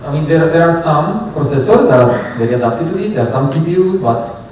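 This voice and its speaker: A man's voice: a little high, animated, fairly quick, darkish tone, a little hiss or hum. A man on a conference panel, speaking hesitantly and repeating words as he reasons. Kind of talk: panel discussion. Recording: very echoey hall, distant mic, faint ambience.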